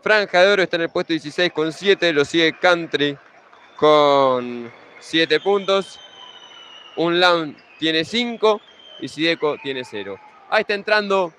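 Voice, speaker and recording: A man's voice: fairly high pitch, lively intonation, a little slow, a bit bright, slight background noise. A young man with an Argentine Spanish accent, giving pre-match futsal commentary in a conversational way, running through league standings and team lineups.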